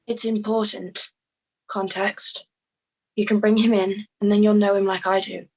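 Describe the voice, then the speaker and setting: A woman's voice: medium pitch, flat delivery, unhurried, poor audio. A woman playing an insistent, hard-edged informant in a crime drama table read.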